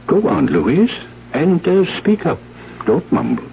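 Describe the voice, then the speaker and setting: Slow, fussy village priest. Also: male voice, a little high, huge pitch swings, full character voice. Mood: stern. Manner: hesitant, muttering, admonishing. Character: stern, fussy, easily flustered male village priest. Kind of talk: 1970s radio drama acting. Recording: dull, noisy 1970s radio broadcast.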